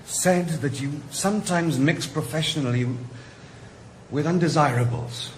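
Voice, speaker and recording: A man's voice: on the high side, measured pace, roomy sound, a little hiss or hum, natural voice. A man playing a detached, probing rehab doctor in a radio drama. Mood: clinical. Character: clinical male rehab doctor, cool and pointed.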